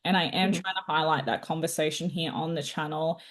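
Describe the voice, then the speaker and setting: A woman hosting a podcast interview, asking questions in a measured, thoughtful way. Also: deep voice, fairly even intonation. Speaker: podcast host.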